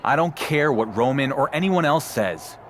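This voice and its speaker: An actor playing a cocky, stubborn wrestling champion in a video game cutscene, with a dry, defiant manner. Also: male voice, medium pitch, lively intonation, normal speed, fairly bright tone, a little hiss or hum, close to an everyday voice.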